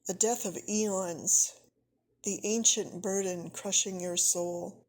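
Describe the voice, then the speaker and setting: A woman giving a solemn poetry reading in short, measured phrases. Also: mid-range voice, steady tone, low-quality recording. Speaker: poet.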